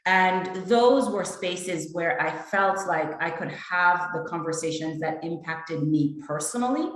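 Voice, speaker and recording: A woman from Jamaica speaks earnestly and thoughtfully on a panel. Her voice sits low, and the room around her rings with heavy echo. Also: measured pace. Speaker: EMBA student panelist. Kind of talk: reflective panel answer. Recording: very echoey room.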